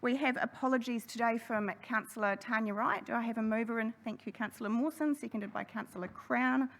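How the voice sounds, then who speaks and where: A woman chairing a council hearing, running through procedure in a brisk, friendly way. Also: a little high, gravelly, a little hiss or hum. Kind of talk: formal meeting chairing. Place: council chamber.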